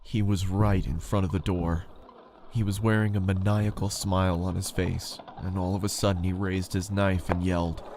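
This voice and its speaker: A man's voice: low-pitched, level tone, moderate pace, a little mellow, a little hiss or hum. A man reading a scripted first-person horror story as its narrator.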